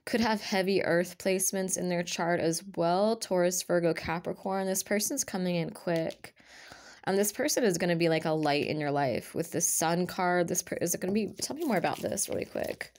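A woman with a raspy voice and a lingering cough, reading tarot cards to camera in a casual chat and half-whispering card names as she lays them out. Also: on the low side, moderate pace, a little mellow. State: recovering from a cough. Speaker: tarot reader. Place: indoors at a table with a purple cloth. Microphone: camera over her hands, speaker off-frame.

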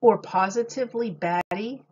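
A woman reading a picture book aloud as a teacher, with simple, deliberate phrasing and questions for young listeners. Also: mid-range voice, dark tone, slightly rough, dull audio. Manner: simple, deliberate phrasing addressed to small children.

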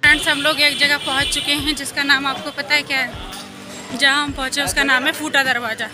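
A woman's voice: high voice, little pitch movement, fairly quick. A young woman talking casually into a phone camera she holds at arm's length, chatty and laughing often. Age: young adult. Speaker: vlogger. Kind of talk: casual walking vlog. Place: busy outdoor market street. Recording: street crowd noise with music.

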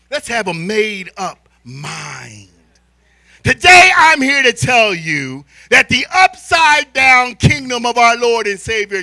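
A middle-aged American man delivers a sermon in a very bright, forceful voice. His delivery is slow and theatrical, stretching key words for emphasis. The pitch moves in lively sweeps as he tells stories to his congregation. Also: dry recording. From born in Spain, American military family. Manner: animated, theatrical, drawn-out emphasis, swinging from quiet asides to shouts. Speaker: church elder preaching. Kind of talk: sermon with storytelling and call-and-response to congregation. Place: large church hall, indoors. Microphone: handheld microphone.

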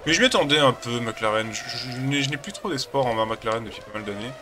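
A man's voice: mid-range voice, fast, background noise. A man with a cold chatting casually as a gaming channel host, rambling and hesitant while he plays a racing game. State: has a cold.

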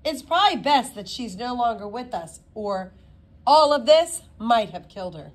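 A woman's voice: fairly high pitch, sing-song, normal speed. A woman voicing a cheeky, self-assured schoolgirl in a storybook, with dry, teasing emphasis. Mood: breezy candor.

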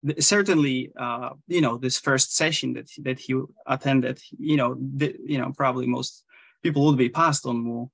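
A man in his thirties with a Polish accent, a Zen priest talking as a guest over a video call, halting and full of restarts and fillers. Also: medium pitch, fairly even intonation, gravelly, a bit bright, breathy edge, dry recording. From Poland. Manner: hesitant, many restarts and fillers. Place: small room indoors. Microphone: video call webcam.

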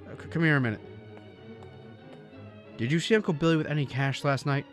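A man's voice: a little high, brisk, faint music behind, natural voice. A man acting in a radio drama as an earnest, kindly but easily frantic small-town businessman, folksy and emphatic, with an American accent. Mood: hurried, worried. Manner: folksy, emphatic, stammering when pressed.

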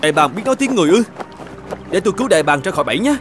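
A man's voice: sits high, sing-song, rapid-fire, faint music behind. A man voicing a brave, polite young knight in a children's cartoon. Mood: curious, determined. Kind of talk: children's cartoon fairy-tale dubbing.

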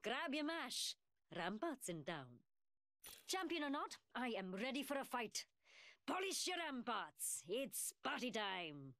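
Casting: brash young London woman gunsmith for a shooter game, highish voice, very animated swoops, sarcastic taunts in a working-class British accent. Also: takes it slowly, no room sound, studio quality, full character voice. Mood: cocky. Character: cocky, mocking young woman gunsmith fighter, brash and cheeky. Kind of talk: video game character voice lines.

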